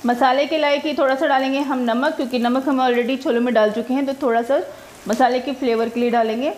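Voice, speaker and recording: A woman's voice: high voice, steady tone, fairly quick, darkish tone. A woman narrating her own cooking video step by step, chatty and homely, explaining each step as she cooks in her home kitchen. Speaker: cooking vlogger.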